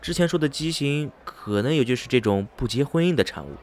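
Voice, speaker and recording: A man plays an educated, sceptical young-minded traveller in a radio play. He reasons things out in a measured, curious tone at a medium male pitch. Faint noise sits behind the voice. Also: animated, close to an everyday voice. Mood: speculative. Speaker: actor in an amateur student radio drama. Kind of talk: radio drama acting.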